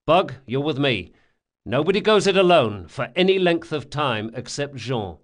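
Audiobook thief leader, played by a male narrator: male, sharp-witted, commanding, pitched up, emphatic when giving orders. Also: very animated, normal speed. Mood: decisive.

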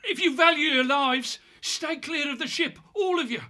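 A man's voice: very high voice, moderate pace, pushed and bright, voice pushed up. A man voicing a grave, loyal kestrel in a storybook, shrieking and pleading urgent warnings.

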